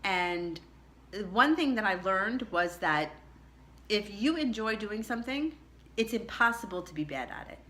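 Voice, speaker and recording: Bright-voiced woman telling her own story. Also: lively intonation, unhurried. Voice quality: low contralto singing voice. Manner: chatty, candid, laughs often. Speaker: vlogger. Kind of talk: personal storytelling to camera.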